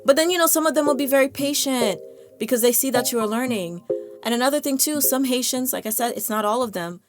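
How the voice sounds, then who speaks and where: A woman's voice: sits high, fast, soft background music. Chatty American woman, encouraging. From United States. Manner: conversational, stumbles and repeats words, laughs now and then. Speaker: language vlogger. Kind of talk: casual encouraging talk to camera.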